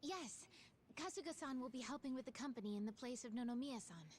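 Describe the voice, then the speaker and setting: A woman's voice: sits high, brisk, a little mellow, breathy edge. A woman playing a polite, earnest young woman in a video game. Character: polite, troubled young woman running family company. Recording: music behind the voice.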